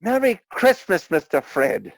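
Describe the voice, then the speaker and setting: A man playing a meek, kindly clerk in a radio play, hesitant and deferential, with a creaky voice. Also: high-pitched, huge pitch swings, measured pace, no echo, clean studio sound, heavily acted. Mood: polite, kindly. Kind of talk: theatrical radio play acting.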